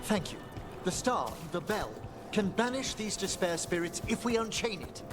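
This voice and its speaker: A courteous older professor of necromancy in a fantasy game, with a high, very animated voice. His phrasing is formal and eloquent, scholarly and genteel. Loud music plays underneath. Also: normal speed. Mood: concerned, instructive. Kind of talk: video game character dialogue.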